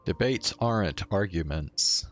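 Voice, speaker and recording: A man's voice: sits low, expressive, measured pace, faint music behind. A man doing casual gameplay commentary and reading out game dialogue.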